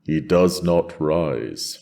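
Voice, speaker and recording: A man plays a wise, steady dragon in a cinematic fantasy audio drama. The voice is very low and dark, and it moves slowly, with measured, gently probing questions. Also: vocal fry, exaggerated voice. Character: wise, steady male dragon, bonded to his rider.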